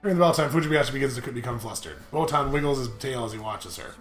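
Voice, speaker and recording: Animated visual novel reader in an echoey room. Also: mid-range voice, fast. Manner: playful, animated, slips and rereads lines. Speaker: host reading a visual novel aloud. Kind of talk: casual playthrough, reading narration and character lines aloud with asides. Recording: roomy echo, music behind.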